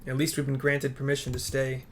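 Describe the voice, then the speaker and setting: A man voicing an earnest, pragmatic resistance ally in a game, deliberate and persuasive. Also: medium pitch, completely flat delivery, lightly acted. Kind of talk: reading game dialogue aloud.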